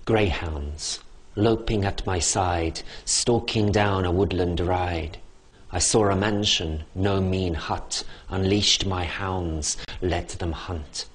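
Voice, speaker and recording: A man reciting a rhymed poem in a measured, rhythmic reading. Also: sits low, fairly even intonation, husky. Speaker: poetry reader. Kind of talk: poetry recitation.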